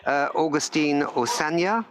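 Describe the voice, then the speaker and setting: A man's voice: a little high, measured pace, a bit bright. A man hosting a live panel in an informal, hesitant, unscripted way. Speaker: co-host, panel moderator.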